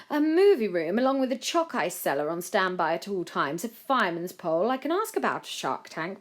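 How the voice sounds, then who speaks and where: A woman's voice: a little high, pitched above the performer's own voice. A woman reader voicing a formal, dutiful male aide who explains things deferentially, like a butler.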